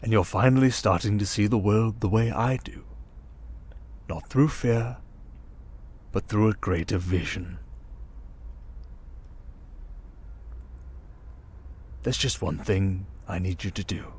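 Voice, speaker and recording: A man playing a suave, manipulative criminal mastermind in an intimate ASMR roleplay, with a coaxing, condescending, theatrical delivery full of drawn-out pauses. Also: fairly low pitch, a little mellow, slightly rough. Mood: hypnotic, persuasive. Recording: music behind the voice. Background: music.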